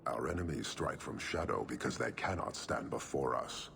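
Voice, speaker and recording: A man playing a stern, imposing leader of a horned warrior race, gravelly, measured and disdainful, in a video game. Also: very deep voice, a bit bright, a little hiss or hum, exaggerated voice. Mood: cold contempt.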